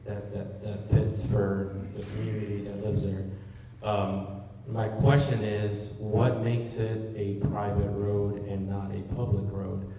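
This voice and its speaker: A resident at a city council meeting asking halting questions about his road. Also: lowish voice, monotone, lo-fi sound, noisy background. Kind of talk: public comment. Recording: distant, echoey hall.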